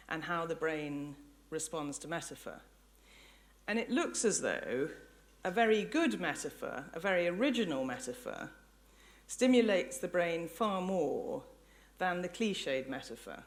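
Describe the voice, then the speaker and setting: Reflective English woman giving a lecture. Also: low voice. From England. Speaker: lecturer, professor. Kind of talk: public academic lecture. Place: lecture hall before an audience.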